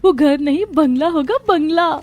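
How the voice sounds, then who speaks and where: High-voiced young bride acted by a woman. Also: normal speed, a little mellow, natural voice. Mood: eager, dreamy. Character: young newlywed village brides, sisters, dramatic and expressive. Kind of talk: dramatized story dialogue.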